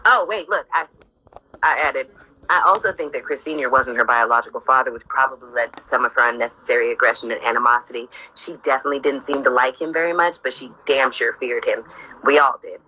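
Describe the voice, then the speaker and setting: Husky, very bright low-voiced woman reading candidly. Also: fast, rough recording. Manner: candid, sardonic, profane. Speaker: author reading from own memoir. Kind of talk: informal read-aloud of memoir with off-script asides.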